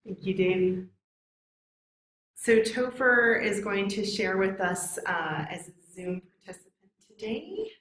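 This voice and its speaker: A woman's voice: mid-range voice, lively intonation, slow, fairly bright tone. A woman leading a service and introducing readers in an informal way. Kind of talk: informal hosting. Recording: echoey room.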